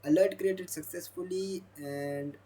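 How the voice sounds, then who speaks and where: A young man, a Hindi speaker, walking through a demo of his own software while thinking aloud. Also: sits high, steady tone, unhurried, husky, rough recording. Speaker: software developer demoing his app. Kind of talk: screen-recorded product demo.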